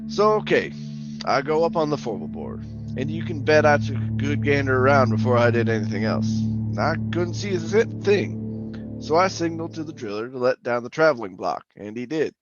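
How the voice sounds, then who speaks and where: A man's voice: medium pitch, very animated, moderate pace, highs cut off, over loud music, lightly acted. A man playing a jittery, haunted oil rig worker telling his suspense story in colloquial, stammering old-time radio style. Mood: uneasy recounting. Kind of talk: old-time radio drama narration, first-person suspense.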